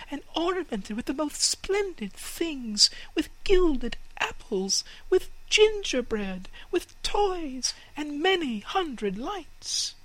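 A woman's voice: sits high, bright, forceful tone, no echo, voice pushed up, heavily acted, poor audio. A woman voicing a flock of little sparrows in a storybook, eager and whispery. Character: chirping gossipy little sparrows.

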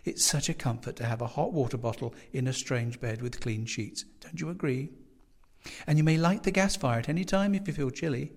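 A man's voice: medium pitch, fairly quick, lightly acted. A man voicing a dotty, overly sweet older landlady who gushes and fusses over her guest. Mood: fussy. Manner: gushing, fussy, coaxing.

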